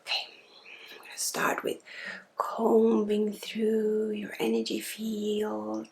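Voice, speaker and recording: Creaky, whispery woman doing ASMR. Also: mid-range voice, completely flat delivery, slow, a little hiss or hum. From Sweden. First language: Swedish. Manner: soft-spoken, drifting into breathy whispers. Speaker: energy healer and spiritual guide. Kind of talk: ASMR guided energy healing session.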